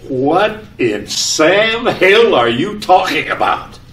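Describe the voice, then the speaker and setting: In a roomy, distant recording, a fretful husband from an old radio comedy. He stammers his objections in a very animated, swooping voice, easily panicked and thoroughly exasperated. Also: sits high, slow pace, heavily acted. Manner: sputtering, protesting, stammering. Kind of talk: old-time radio domestic comedy acting.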